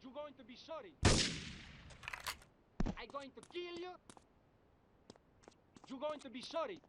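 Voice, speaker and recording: A menacing game gangster with a Latin American Spanish accent, very high in pitch and taunting. His threats come in slow barks, under loud gunfire noise. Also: animated. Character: taunting, menacing male street gangster in a shootout. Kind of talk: video game combat barks.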